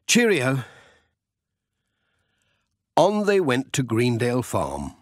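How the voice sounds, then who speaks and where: A man's voice: mid-range voice, big pitch swings, unhurried, no room sound, studio quality. A man narrating a children's audiobook story.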